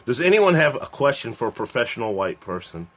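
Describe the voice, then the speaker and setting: A man in his forties chats loosely on a phone livestream. He rambles, laughs often, and his pitch jumps high and drops low from line to line. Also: sing-song, fairly quick. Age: 40s. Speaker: host of a livestream. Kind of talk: casual joking chat.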